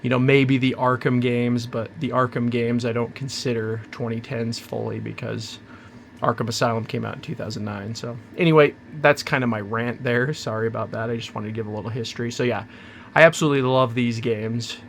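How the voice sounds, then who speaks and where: A man hosting his own channel, chatting casually and rambling through an unboxing, hoarse from a cold. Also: mid-range voice, fairly even intonation, a little fast, slight background noise. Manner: rambling, chatty, self-interrupting. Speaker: channel host.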